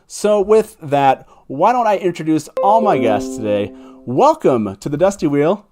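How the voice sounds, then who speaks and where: A man's voice: on the high side, expressive, unhurried, music in the background. A man hosting a live fan talk show in a casual, enthusiastic and emphatic way.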